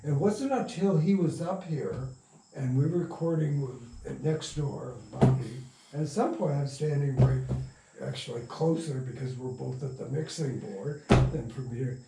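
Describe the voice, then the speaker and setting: An older man being interviewed, telling stories in a halting, rambling way with trailing thoughts. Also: medium pitch. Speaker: interview guest, radio play producer. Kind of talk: casual reminiscing interview. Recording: roomy, distant mic, faint noise.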